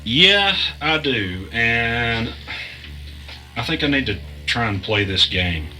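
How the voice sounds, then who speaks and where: An actor playing an anxious podcast host in an audio drama, earnest and hesitant in natural dialogue and narration. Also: male voice, medium pitch, animated, slow pace, pushed and bright, faint music behind, full character voice. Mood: uneasy, hesitant. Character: anxious male podcast host caught in a conspiracy.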